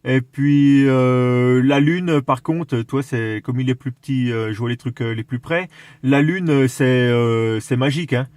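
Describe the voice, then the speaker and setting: A man's voice: mid-range voice, darkish tone, no echo. Hesitant man chatting, dry close sound. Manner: hesitant, halting, searching for words. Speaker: amateur radio operator. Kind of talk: casual chat over CB radio.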